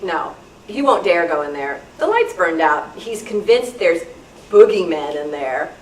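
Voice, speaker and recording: A woman playing an exasperated young babysitter growing frightened in the dark, in an amateur radio thriller. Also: lowish voice, big pitch swings, measured pace. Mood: exasperated, chatty. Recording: echoey room, distant mic, background noise.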